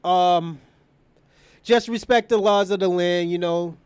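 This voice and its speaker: A man's voice: high voice, fairly even intonation, takes it slowly, clear, resonant tone, no room sound. A man hosting a casual livestream, talking off the cuff in an opinionated, rambling way and repeating phrases. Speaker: live stream show host.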